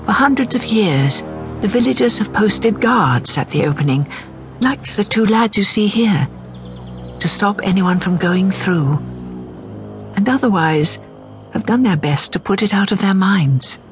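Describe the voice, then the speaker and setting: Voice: mid-range voice, expressive, measured pace. An actor reading as the omniscient storyteller of a fairy tale in a scripted radio drama. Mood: measured storytelling. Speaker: narrator. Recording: music under the voice. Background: music.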